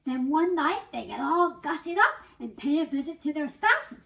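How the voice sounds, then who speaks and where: A woman performing a theatrical comic monologue in the first person, dramatic and deliberate, with emphatic pauses. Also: high voice, very animated, fairly bright tone.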